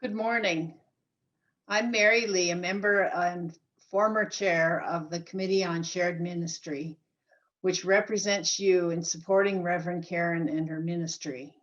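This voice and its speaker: A woman's voice: on the low side, steady tone, unhurried, dull audio. A woman speaking as a church committee member, reading a prepared announcement.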